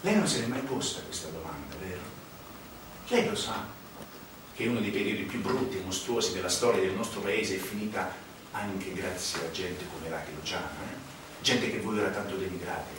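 An actor playing a sardonic storyteller in a theatrical monologue, declaiming with dramatic pauses and rhetorical questions. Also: male voice, mid-range voice, lightly acted. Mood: sardonic, insinuating. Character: sardonic male storyteller recounting a dark financial scandal. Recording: echoey hall, distant mic, noisy.

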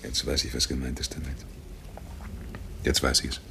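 A man's voice: very deep voice, flat delivery, moderate pace, gravelly, sharp tone, slight background noise, exaggerated voice. A man acting a brooding, laconic widower in a radio drama, telling his story in an understated, terse, wry way. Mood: subdued, tense. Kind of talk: radio drama acting and first-person narration.

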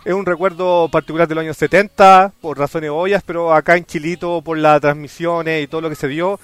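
Chilean guy hosting a podcast, goofy announcer vibe, high voice, clear tone. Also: little pitch movement, brisk, no echo. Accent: Chilean Spanish. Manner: playful, showman-like announcing. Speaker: podcast host. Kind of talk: casual podcast chat.

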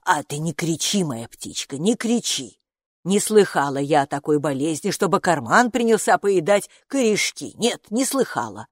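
A woman's voice: low-pitched, big pitch swings, normal speed, no room sound, clean studio sound. Woman voicing an anxious, motherly storybook gatekeeper creature. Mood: fretful, soothing. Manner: fretful, doting, repeats words in lament. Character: anxious, motherly green-skinned female underground gatekeeper creature. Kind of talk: children's audiobook character voice.

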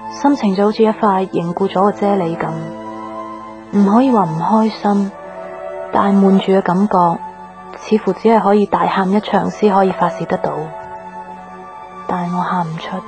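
A woman's voice: mid-range voice, fairly even intonation, normal speed, long pauses, music in the background, close to an everyday voice. An actress playing a troubled, dutiful young woman in a Hong Kong Cantonese radio drama, speaking in a subdued, reflective manner. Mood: brooding. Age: young adult. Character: troubled, dutiful young daughter, lovelorn and introspective. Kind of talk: Cantonese radio drama acting with inner monologue.

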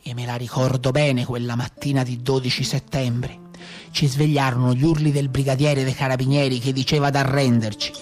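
A man's voice: mid-range voice, flat delivery, normal speed, a little mellow, faint music behind, pitched below the performer's own voice, natural voice. A man playing a proud, menacing Tuscan brigand telling his story bluntly in a theatrical monologue. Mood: defiant, boastful. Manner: colloquial, blunt, boastful telling. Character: old-time Maremma brigand, proud, defiant, menacing storyteller.

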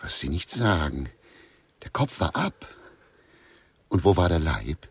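A grumpy, scornful artist from a radio crime comedy. The voice is very deep and gravel-edged. He grumbles bluntly and with open disdain. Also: big pitch swings, slow pace, no room sound, heavily acted. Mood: curious, astonished. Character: grumpy, scornful male artist who hates modern art.

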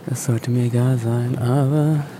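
A man's voice: mid-range voice, fairly even intonation, a little slow, noisy background. A man giving a spontaneous account in short, hesitant fragments. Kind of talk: slow, halting spontaneous account.

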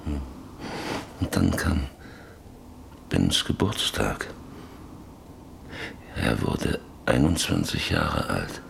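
An actor playing a bitter, haunted old rancher in a German radio play, brooding haltingly between whispers and outcries. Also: male voice, extremely low pitch, big pitch swings, creaky, background noise, full character voice. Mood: brooding recollection. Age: older.

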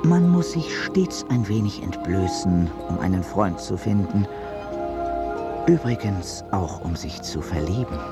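A mysterious companion who gently teases and guides. He speaks slowly in a fairly low voice with wide swings of melody. It is a radio play with music behind him. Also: animated. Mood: teasing, reassuring. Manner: gentle, instructing. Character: mysterious male companion, teasing, calm spiritual guide. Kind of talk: radio drama acting.

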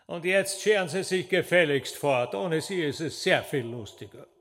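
A man's voice: fairly high pitch, expressive, normal speed, a bit bright. An actor playing a flamboyant, sneering male stage trickster in a radio drama, gleefully sinister and theatrical. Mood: scornful. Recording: long hall reverb of a theatre.

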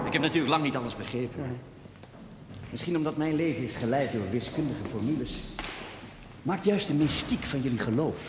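A man playing a thoughtful, sceptical man of science in a 1970s television drama, measured and questioning. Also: medium pitch, lightly acted. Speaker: actor. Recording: echoey hall, clear background noise.

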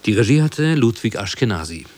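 A man reading radio credits as an announcer in a formal, measured manner. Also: lowish voice, big pitch swings, a little mellow.

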